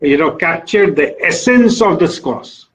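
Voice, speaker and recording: High-pitched man, coaching emphatically. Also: expressive, unhurried. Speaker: shooting coach, host. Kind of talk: coaching talk and interview.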